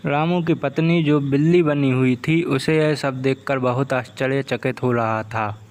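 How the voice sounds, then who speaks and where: A man's voice: mid-range voice, fairly even intonation, unhurried, darkish tone. A man reading a scripted folk tale as the narrator. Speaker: story narrator.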